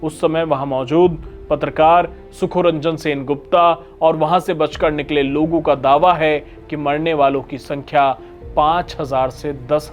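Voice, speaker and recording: A man delivering scripted documentary narration in a grave, emphatic storytelling manner. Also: fairly high pitch. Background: background music.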